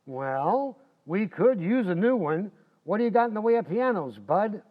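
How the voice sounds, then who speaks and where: A boastful, cheerfully clueless husband out of old-time radio comedy, played by a man. He talks in folksy slang with a high-pitched voice. The sound is slightly muffled and dull. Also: sing-song, normal speed. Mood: cocky, cheerful. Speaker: amateur actor in a community playhouse radio recreation.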